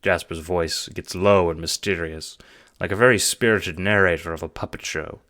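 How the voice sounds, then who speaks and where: A man's voice: low voice, fairly even intonation, normal speed, fairly bright tone. A man narrating an audio drama as scripted second-person storytelling.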